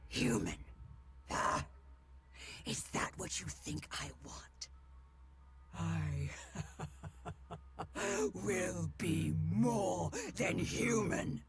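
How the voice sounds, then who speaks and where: A woman's voice: on the low side, expressive, gravelly, a crowd in the background, heavily acted. An actress playing a cruel, monstrous villain woman in a visual novel, with contemptuous, mockingly condescending delivery that breaks into whispers. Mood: indignant, gloating. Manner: slow, taunting, drawn-out delivery. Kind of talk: dramatic visual novel voice acting. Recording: loud music over voice.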